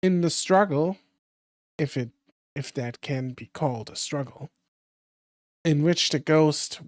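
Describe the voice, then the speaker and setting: A hoarse voice reading a classic tale aloud on stream, careful and unhurried with the odd slip. The sound is dry and close. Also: medium pitch, dry recording. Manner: slow careful reading with small slips. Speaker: streamer and reader.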